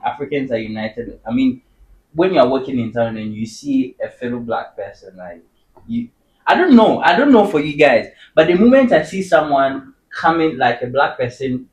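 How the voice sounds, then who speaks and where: Hesitant Ghanaian man in an echoey room. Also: mid-range voice. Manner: hesitant, repeats words while thinking. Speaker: host. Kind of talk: casual chat. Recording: echoey room with faint ambience.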